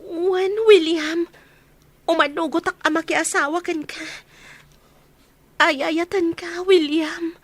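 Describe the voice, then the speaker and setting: A woman's voice: very high voice, fairly even intonation, slow, long pauses, no room sound. A woman playing a sweet, adoring young bride-to-be in a sentimental audio drama. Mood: adoring, delighted. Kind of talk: radio-style audio drama acting.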